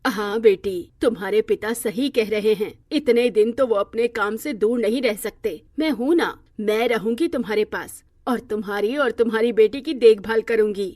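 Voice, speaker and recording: Lady playing a loving old granny in a cartoon story, eager n coaxing, kinda high voice, no room sound. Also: normal speed, hardly any pauses, darkish tone, no echo, clean studio sound, lightly acted. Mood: joyful, reassuring. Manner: affectionate, emphatic, coaxing. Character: doting, eager old grandmother in a moral cartoon.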